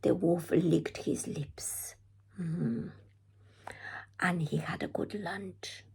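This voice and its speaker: A woman reading a rhyming children's storybook aloud as an expressive storytime narrator. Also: on the low side, drawn-out words, vocal fry, husky. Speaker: storytime reader.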